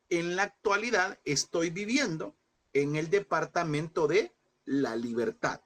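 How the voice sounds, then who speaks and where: Man teaching a Bible class. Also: fairly high pitch. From El Salvador. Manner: addresses listeners as brothers, asks and repeats questions; slows and stresses key words.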